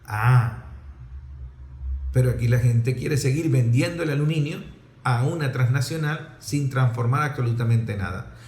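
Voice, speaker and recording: A man's voice: mid-range voice, unhurried, a little mellow. A man with a Venezuelan accent giving explanatory political commentary in an emphatic, didactic way, with rhetorical questions and repetition. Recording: roomy, echoing sound.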